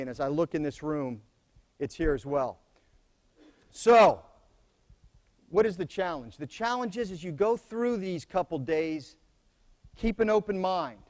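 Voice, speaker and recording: A middle-aged American man delivers opening remarks to a roomful of students in a soft voice that sits fairly high for a man. He talks plainly and repeats key phrases to drive them home. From United States. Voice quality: soft-spoken. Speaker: commandant giving opening remarks. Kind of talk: conference speech to students.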